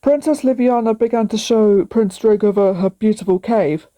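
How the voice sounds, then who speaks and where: A woman's voice: mid-range voice, moderate pace, warm tone, no echo. A woman narrating a story aloud, sometimes stumbling and repeating words.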